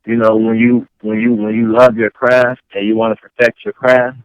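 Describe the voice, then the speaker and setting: A man's voice: lowish voice, level tone, moderate pace, clear, resonant tone, no room sound, highs cut off. A man from Chicago with a slight Southern accent, chatting casually as an interviewed rapper and often checking in with "you know" phrases. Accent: Chicago with slight Southern tinge. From Chicago, later Mississippi. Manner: conversational, frequent "you know what I'm saying".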